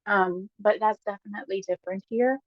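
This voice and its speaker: A woman with a Guyanese accent, a guest casually telling stories with lively bursts and frequent laughs. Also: medium pitch, no room sound. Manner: lively, laughs often, quick bursts when excited. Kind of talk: casual storytelling chat. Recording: dull lo-fi dry sound.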